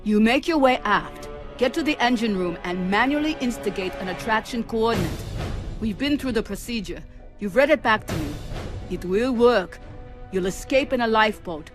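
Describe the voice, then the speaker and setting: A woman's voice: on the high side, animated, normal speed, lightly acted. A woman playing a ship's artificial intelligence in a sci-fi drama, speaking in a precise, dry, clinical way. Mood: resolute. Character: coolly precise, dryly witty female ship's artificial intelligence. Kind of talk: scripted sci-fi audio drama acting. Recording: music under the voice. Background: background music.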